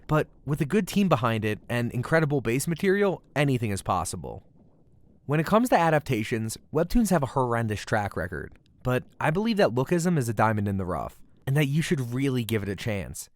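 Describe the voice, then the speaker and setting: A man delivering a scripted video review, speaking in an articulate, measured way with deliberate emphasis on key phrases. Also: medium pitch. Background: background music.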